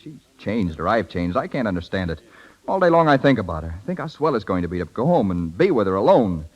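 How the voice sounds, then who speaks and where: An actor playing a proud, fed-up young American husband in a 1930s radio drama. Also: male voice, fairly low pitch, sing-song, quick pace, husky, lo-fi sound. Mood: bitter.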